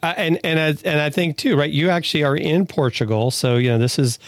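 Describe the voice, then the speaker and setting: A man's voice: on the high side, brisk, dry recording. Upbeat male co-host, dry sound. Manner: enthusiastic, with repeated restarts. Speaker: podcast co-host. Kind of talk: conversational interview.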